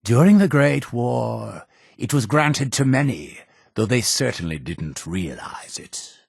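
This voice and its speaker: An actor playing a solemn, fervent cult high priest in a video game, speaking in a grandiose, formal, preaching manner. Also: male voice, sing-song, takes it slowly, creaky, slightly rough, no room sound, studio quality, heavily acted. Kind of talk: scripted video game character dialogue.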